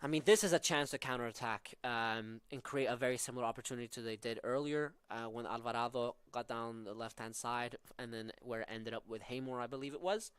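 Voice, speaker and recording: A man's voice: mid-range voice, a little fast, hardly any pauses, fairly bright tone, clear, resonant tone, no room sound. A young man from southern Norway with a Norwegian accent, calling live college soccer with tactical analysis and frequent fillers. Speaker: play-by-play commentator.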